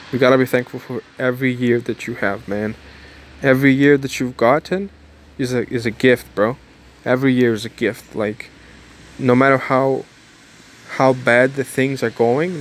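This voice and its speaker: A man's voice: mid-range voice, a little hiss or hum. A nineteen-year-old man vlogging casually and rambling through a repetitive motivational pep-talk outdoors. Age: young adult. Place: outdoors in the cold.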